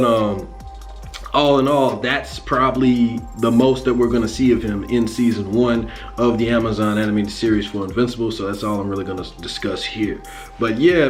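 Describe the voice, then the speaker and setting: An American man giving casual, animated fan commentary in slangy, profane, conversational speech, acting out lines as he retells a story. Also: mid-range voice. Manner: animated, conversational, acts out lines and sound effects. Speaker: host of a comics and animation channel.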